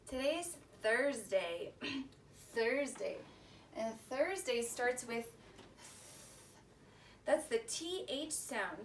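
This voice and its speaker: A woman teacher leading story time for young children, prompting them and repeating words for them to echo. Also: on the high side. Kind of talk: instructional talk to young children. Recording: distant mic with faint background noise.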